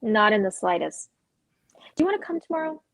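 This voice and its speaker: A woman playing an earnest, gentle, conscientious character in a dramatic play reading. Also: mid-range voice, very animated, a little fast, dry recording. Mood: fond, curious.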